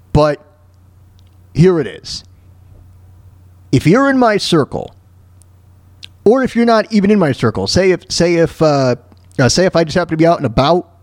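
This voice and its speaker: A man with a high voice and very lively intonation hosts a solo podcast. He is blunt and emphatic, circling back over his phrases to drive them home. The sound is close and dry. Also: no echo. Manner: blunt, profane. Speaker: podcast host.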